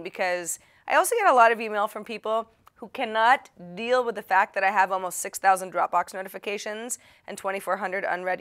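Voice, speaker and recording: A woman presenter speaks at a middle pitch, mixing scripted lines with casual asides. Her manner is chatty and playful, with a wry, self-deprecating streak as she addresses her audience directly. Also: a bit bright. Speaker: host of a tech tips show.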